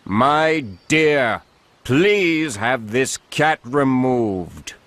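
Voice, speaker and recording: A man playing a haughty, measured, displeased king in a storybook. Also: mid-range voice, lively intonation, fairly bright tone, no room sound. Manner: slow, measured.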